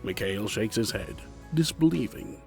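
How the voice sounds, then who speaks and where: Formal male narrator over soft music. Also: on the low side, lively intonation, moderate pace, vocal fry. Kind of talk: scripted storytelling narration.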